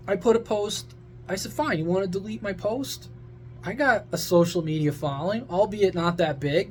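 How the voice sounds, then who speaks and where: High-pitched blunt man ranting. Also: slight background noise. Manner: blunt, sarcastic, crude, exasperated. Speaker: social media creator and CB radio hobbyist. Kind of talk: casual opinionated rant to viewers.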